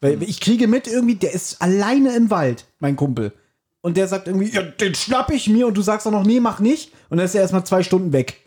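Husky, mellow, higher-pitched man. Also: lively intonation, a little fast. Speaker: podcast co-host. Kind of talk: casual chat, retelling an audio drama.

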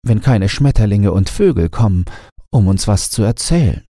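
A man's voice: fairly low pitch, expressive, moderate pace, warm tone, no room sound, clean studio sound, voice pushed up. A man voicing bored, wistful garden bushes with a plaintive manner in a bedtime fairy tale. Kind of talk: bedtime storybook character voice.

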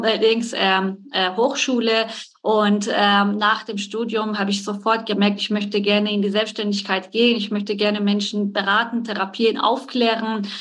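A woman's voice: on the high side, fairly bright tone. A woman in her forties from Iran, a guest nutrition expert who talks at length and searches for words. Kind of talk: interview answers.

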